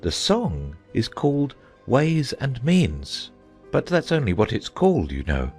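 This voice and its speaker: An actor playing a gentle, foolish and fussily earnest knight in a dramatized audiobook. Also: male voice, medium pitch, wildly swooping pitch, measured pace, soft background music. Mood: fussy, vexed.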